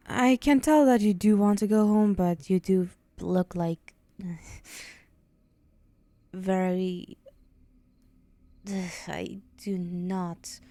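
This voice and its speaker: A woman voicing a haughty, teasing and menacing demon in an ASMR roleplay, condescending and deliberate, with breathy whispered moments. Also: mid-range voice, mellow, no echo, lightly acted. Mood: condescending, curious.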